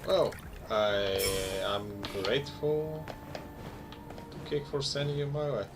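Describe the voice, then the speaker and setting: A man from Bulgaria with a Bulgarian accent, chatting casually to his viewers while he plays a game on a live stream and rambles between pauses. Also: medium pitch. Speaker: gaming streamer. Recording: loud game music behind.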